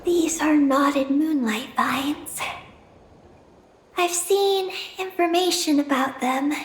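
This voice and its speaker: Calm reserved game girl guide, really slow n gentle, bit of reverb on it. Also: sits high, slight background noise. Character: calm, reserved young female guide in a fantasy world. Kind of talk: video game voice acting.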